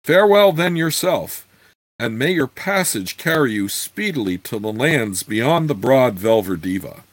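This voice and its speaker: A man's voice: mid-range voice, lively intonation, a little slow, no room sound. A man voicing a giggly, odd fantasy traveler who speaks in stilted, archaic phrases, in a storybook reading. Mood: formal farewell.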